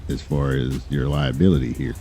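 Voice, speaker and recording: A man roleplaying an assertive attorney, speaking in a stern, deliberate and condescending way. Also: a bass voice, sing-song, vocal fry, music in the background. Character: assertive, condescending male attorney confronting police. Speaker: roleplay streamer playing a lawyer. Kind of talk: in-character improvised game roleplay, formal argumentative talk.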